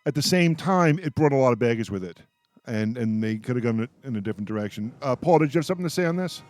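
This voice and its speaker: A man with a mid-range voice hosts a casual radio discussion. He speaks in a halting, opinionated way, often repeating words as he works toward his point. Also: medium pitch, animated, a little mellow, no room sound. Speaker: roundtable host on a radio show.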